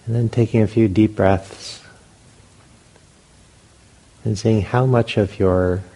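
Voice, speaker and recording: A man with a very deep, gravelly voice guides a meditation. His tone is dark and mellow, and he keeps it fairly even. He speaks gently and unhurriedly in short phrases, leaving long pauses between them. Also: low-quality recording. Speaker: meditation teacher.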